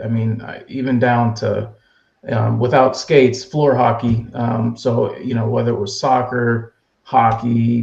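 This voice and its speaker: A man with a gravelly, creaky voice talks through his story in a relaxed, conversational way. His voice has a dull, lo-fi sound, with a roomy echo around it. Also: medium pitch. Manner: easygoing, storytelling. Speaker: guest. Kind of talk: casual interview answers. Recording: echoey room, dull lo-fi sound.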